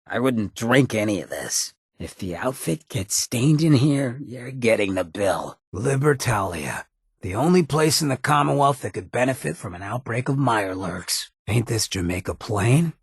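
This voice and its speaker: Raspy, roguish wasteland mayor. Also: male voice, medium pitch, lively intonation, moderate pace, no room sound, clean studio sound, exaggerated voice. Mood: wary, then wry, curious. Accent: American. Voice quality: raspy, gravelly. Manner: streetwise, sardonic drawl with slang. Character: roguish, irreverent male ghoul mayor, a swaggering companion. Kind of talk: video game character dialogue.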